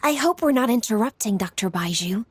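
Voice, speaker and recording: An actress playing a poised, businesslike young official in a video game, articulate, formal and composed. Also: female voice, a little high, lively intonation, fairly quick, husky, no echo. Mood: concerned. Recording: music under the voice.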